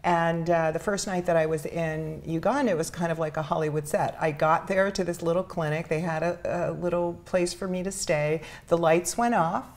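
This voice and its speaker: Middle-aged woman, American accent, lowish pitch, frequent vocal fry, earnest reflective storytelling, interview guest. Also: steady tone, normal speed, hardly any pauses. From New York City, United States. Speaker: interview guest, charity founder.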